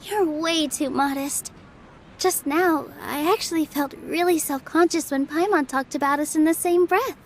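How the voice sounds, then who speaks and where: A woman playing a gentle, modest young theater dancer in a video game, with a polite, friendly manner. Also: high voice, normal speed, a little hiss or hum, close to an everyday voice. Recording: game dialogue over clear background music. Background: music.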